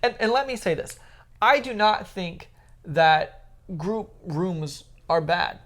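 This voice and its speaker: A woman's voice: fairly low pitch, animated, slow pace. A woman co-hosting a podcast, chatting casually and giving opinions in an emphatic, teasing way. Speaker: podcast co-host.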